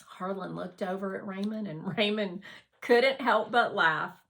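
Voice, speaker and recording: Slow-paced woman reading a novel aloud. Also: mid-range voice, fairly bright tone, a little hiss or hum. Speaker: audiobook reader. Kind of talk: children's novel read-aloud, scripted narration.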